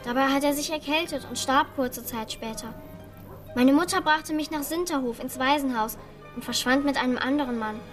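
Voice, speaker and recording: A woman's voice: high voice, moderate pace, close to an everyday voice. An actress in a children's radio play voicing a cautious, protective orphan girl who speaks plainly and earnestly. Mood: earnest, sad. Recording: studio radio play with faint music.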